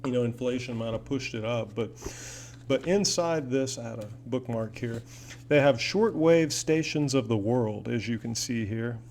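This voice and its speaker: A man's voice: mid-range voice, moderate pace, darkish tone. Rambling man over noisy hum. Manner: rambling, folksy, enthusiastic. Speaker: hobbyist video host. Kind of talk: casual product demo and chat. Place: indoors in an apartment. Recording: noisy home recording with audible hum.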